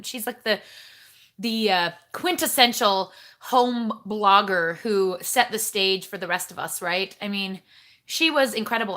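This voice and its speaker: A woman's voice: mid-range voice, sharp tone. A woman hosting a casual livestream from home, chatting with viewers and greeting them by name in a bubbly, rambling way. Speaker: livestream host. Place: home kitchen.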